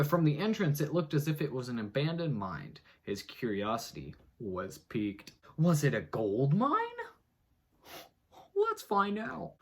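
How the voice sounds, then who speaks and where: A man giving a mock-dramatic comic reading of an article, breaking off for rambling asides, jumping between loud and hushed. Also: mid-range voice, slightly rough. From United States. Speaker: host.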